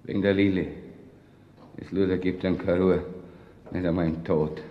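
An actor playing a surly, defiant butcher's worker under suspicion, gruff and indignant, with a Viennese accent, in a crime radio play. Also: male voice, low-pitched, flat delivery, normal speed, dull audio, lightly acted. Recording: echoey reverberant cold-room scene.

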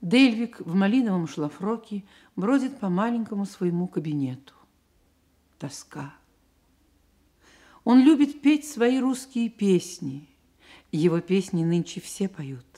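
Slow, mellow woman narrator. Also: mid-range voice, expressive. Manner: measured, literary storytelling. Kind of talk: scripted radio drama narration.

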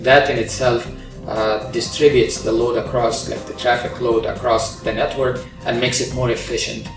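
A middle-aged man from Egypt, an engineering professor, explaining his research in a measured way with frequent ums and uhs, recorded in a large open-plan control center. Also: mid-range voice. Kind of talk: interview about his research. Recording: roomy, distant sound with music under it.

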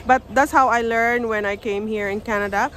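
A woman's voice: on the high side, fairly even intonation, moderate pace, fairly bright tone, a little hiss or hum. A woman in her thirties from the Philippines with a Filipino accent, talking casually to a phone camera outside on a snowy driveway. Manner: chatty, explaining, loose grammar. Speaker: vlogger. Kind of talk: casual vlog talk to camera. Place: outdoors on a snowy suburban driveway. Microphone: phone held at arm's length.

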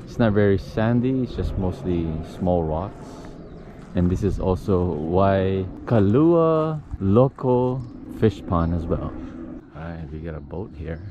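A young man casually narrating a walking vlog outdoors by a calm bay, musing aloud as he goes, recorded on an action camera. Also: low voice, slow. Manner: relaxed, musing aloud, frequent "oh" and "all right". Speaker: vlogger. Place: outdoors on a calm bayside beach park. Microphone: action camera held or mounted while walking. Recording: outdoor camera audio with clear nature noise. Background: birds and outdoor ambience.

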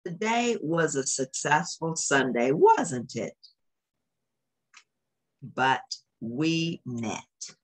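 Low-pitched, expressive woman reading to children. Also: very slow, pauses often. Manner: simple explanatory phrasing for children. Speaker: children's story reader. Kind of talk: picture-book read-aloud with comments to young children.

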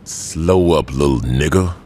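An actor playing a commanding, streetwise gang boss in a video game, speaking with slow authority. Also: male voice, very deep voice, darkish tone. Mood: stern, controlled.

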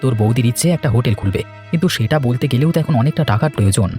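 A man's voice: medium pitch, animated, very fast, warm tone, soft background music, natural voice. A man playing a worried, dutiful young married son in a cartoon, speaking earnestly and reassuringly. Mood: downcast. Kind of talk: cartoon voice acting, domestic moral story.

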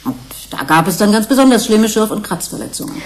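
A woman's voice: mid-range voice, very animated, fast. A woman playing a frank research scientist in a radio play, explaining things in an emphatic way. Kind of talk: German radio play acting.

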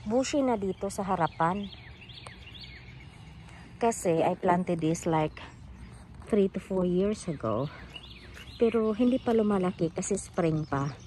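A woman's voice: mid-range voice, lively intonation, measured pace, long pauses, dark tone, a little hiss or hum. A middle-aged woman with a Filipino accent gives a casual walking tour of her front garden outdoors, talking into her phone and switching between English and Tagalog. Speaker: vlogger giving a garden tour. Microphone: phone camera held while walking.

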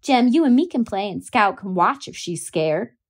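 A woman's voice: mid-range voice, very animated, moderate pace. Woman doing an eager curious little boy. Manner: eager, questioning. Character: curious small boy, the visiting friend.

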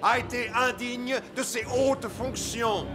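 An actor playing an imperious middle-aged Roman general, delivering a formal, declamatory speech in an animated docudrama. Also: male voice, extremely high pitch, over music. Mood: accusing, loud. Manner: declamatory, deliberate, forceful projection.

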